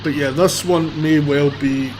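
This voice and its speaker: A man's voice: a little high, fairly even intonation, unhurried. Sniffly man streaming from a busy street. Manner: blunt, rambling, offhand. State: sniffly with a cold. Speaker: livestreamer. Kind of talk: live street commentary. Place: outdoors on a city street. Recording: loud street traffic behind. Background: traffic and vehicles.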